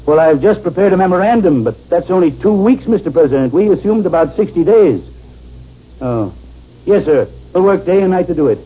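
An actor playing an army general with a commanding manner in a 1950s radio drama. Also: male voice, high-pitched, animated, a little fast, slightly rough. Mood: urgent, dutiful. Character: brisk commanding male army general, gentle with the sick. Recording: dull, noisy old 1950s radio recording.

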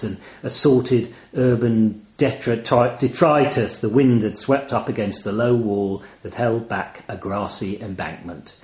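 A man reading a first-person literary short story in an expressive, measured, wry manner. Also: on the low side, reverberant. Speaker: audio story reader.

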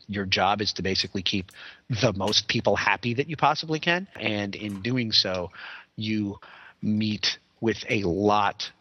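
A man's voice: on the low side, breathy edge, no room sound, dull audio. A man co-hosting a casual comedy podcast, joking and sarcastic in loose chat. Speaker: podcast co-host.

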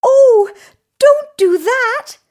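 Woman as a squeaky little storybook billy goat. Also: expressive, drawn-out words, a little mellow, exaggerated voice. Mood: pleading. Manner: squeaky, innocent, pleading. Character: little young male billy goat, small, innocent, pleading. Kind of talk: children's storybook character voice.

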